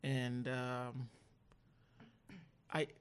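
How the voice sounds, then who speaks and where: A woman podcast host chatting casually with a guest, asking questions in an informal, halting way. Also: rumbling low, little pitch movement, clean tone. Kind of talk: casual interview chat.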